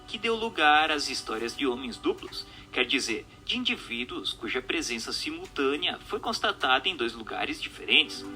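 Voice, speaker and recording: A Brazilian man narrates a religious text in a formal, instructive manner. His voice sits a little high and is strikingly bright and forceful. Soft music plays underneath. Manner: formal, didactic.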